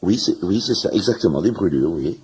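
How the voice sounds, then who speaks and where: An actor playing a polite, wary newcomer patient who stammers haltingly, in a French radio comedy. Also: male voice, sits low, lively intonation, a little mellow, a little hiss or hum, poor audio. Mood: hesitant. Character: hesitant, polite, wary new male patient.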